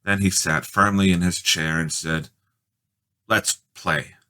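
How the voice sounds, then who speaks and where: A man reading a children's story aloud in expressive, measured, dramatic narration. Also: low voice, smooth. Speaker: storybook reader.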